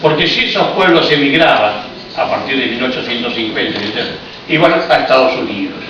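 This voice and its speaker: A man with an Argentine accent lectures to an audience, explaining things patiently and adding dry, ironic asides. His voice sits at an ordinary middle pitch. It comes from a distance in a heavily echoing hall, and the recording sounds muffled, with a steady hiss of room noise. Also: brisk. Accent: Argentine Spanish. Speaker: speaker giving a public talk. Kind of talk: history lecture. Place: cultural hall in Paris. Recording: echoey, muffled, noisy room recording from afar.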